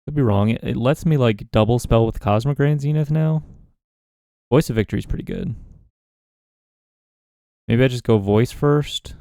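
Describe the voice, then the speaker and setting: A man's voice: mid-range voice, moderate pace, pauses often, no echo, studio quality. A man giving casual commentary while playing a card game, thinking aloud about his choices. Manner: relaxed, musing, talks himself through plays. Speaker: gaming content creator.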